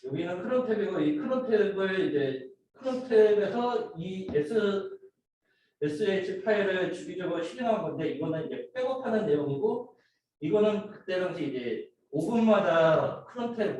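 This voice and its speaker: A man giving an informal, somewhat halting student project presentation, explaining slides and a demo step by step. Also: sits high, a little mellow. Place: indoor room, voice picked up from a distance. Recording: very echoey room, distant mic, noisy.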